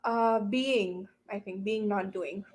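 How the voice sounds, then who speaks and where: Woman panelist with a medium-pitched voice. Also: slow pace. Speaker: learner, panelist. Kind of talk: reflective panel talk.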